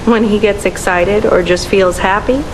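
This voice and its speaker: An actress playing a kind, grateful young woman companion in a video game, speaking gently and sincerely. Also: mid-range voice, fairly even intonation, normal speed, noisy background, close to an everyday voice. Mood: grateful, fond.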